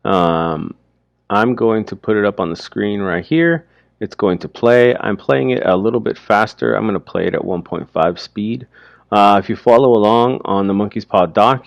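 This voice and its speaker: A man teaching through informal, explanatory commentary on a story, speaking haltingly as he thinks aloud. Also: lowish voice, vocal fry, no room sound, dull audio.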